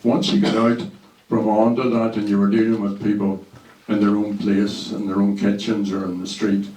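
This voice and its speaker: A man's voice: lowish voice, little pitch movement, moderate pace, dark tone. A man chairing and speaking at a public meeting, plain-spoken and earnest, recorded from far off in a large hall.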